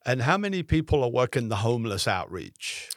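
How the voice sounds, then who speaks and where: A man's voice: medium pitch, big pitch swings, fairly bright tone, dry recording, clean studio sound. A male talk show host asking questions in a chatty way and keeping the guest going with short replies. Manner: chatty, keeps the guest going with quick "right" and "yeah". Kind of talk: casual interview conversation.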